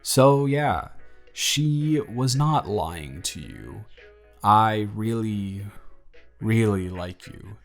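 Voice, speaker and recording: A man playing an earnest young single dad in his twenties, talking softly and a little flustered in an audio roleplay. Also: fairly low pitch, animated, very slow, vocal fry, soft background music, full character voice. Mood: tender. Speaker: father confessing feelings to the babysitter.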